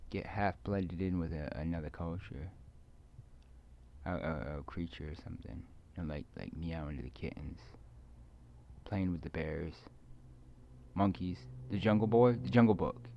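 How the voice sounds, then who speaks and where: A man rambling casually to himself in a halting, hesitant way, repeating words and trailing off mid-thought as he muses. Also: low-pitched, slight background noise. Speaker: video creator. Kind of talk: rambling casual solo monologue. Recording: dull, muffled lo-fi recording.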